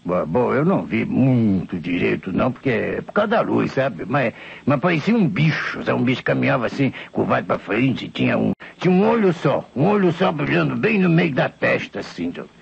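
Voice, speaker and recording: An old Brazilian beggar in a radio mystery, tipsy and rambling. The voice is very rough and gravelly, swinging up and down as he stammers out his humble, insistent account. The recording is old and muffled. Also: medium pitch, sing-song, normal speed, heavily acted. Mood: awed, insistent. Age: older. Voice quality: gravelly, husky. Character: old tipsy male vagabond beggar, frightened, insistent witness.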